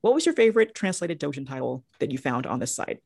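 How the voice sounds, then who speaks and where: Creaky-voiced woman hosting a casual podcast. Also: sits low, big pitch swings, fast talker, a little mellow, no room sound, studio quality. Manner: informal, frank and jokey. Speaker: podcast host.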